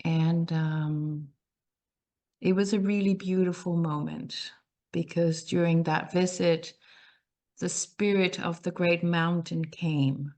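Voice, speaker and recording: A woman from Costa Rica speaks slowly and softly, pausing often as she reflects. Her voice sits low and stays fairly even in pitch. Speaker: meditation call participant. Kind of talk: slow reflective sharing.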